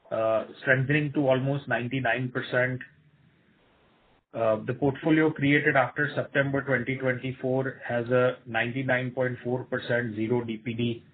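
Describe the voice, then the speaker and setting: A man's voice: medium pitch, slight background noise. Indian man on a tinny phone line. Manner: formal, measured reading of figures. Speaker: company executive presenting results. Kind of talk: scripted financial report on an earnings call. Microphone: telephone conference line.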